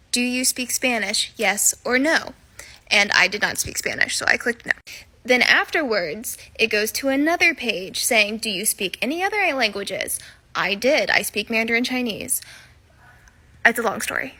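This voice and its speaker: A woman's voice: a little high, bright, forceful tone. A woman telling a story to camera in a dry, incredulous way.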